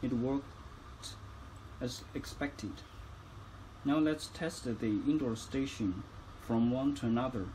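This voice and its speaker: Guy doing a how-to product demo, medium pitch, walks thru steps carefully, noisy room behind him. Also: takes it slowly, pauses often, dark tone. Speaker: product demonstrator. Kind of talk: instructional tutorial narration.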